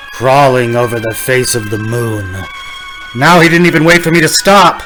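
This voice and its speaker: A man's voice: mid-range voice, lightly acted, low-quality recording. A man acting a haunted, desperate driver in an old radio suspense style, melodramatic and prone to stammering. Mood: haunted, brooding. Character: frantic, haunted male driver on a lonely road.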